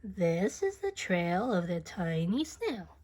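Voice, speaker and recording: A woman reading a children's book aloud as expressive rhyming narration, deliberate and singsong, drawing out words. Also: on the low side.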